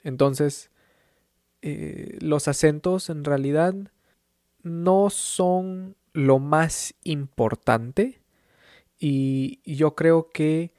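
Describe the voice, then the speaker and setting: Very slow, patient Peruvian teacher. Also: male voice, a little high, dry recording, clean studio sound. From Peru. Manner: calm and encouraging, with brief English glosses. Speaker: language teacher. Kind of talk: slow, clear teaching monologue.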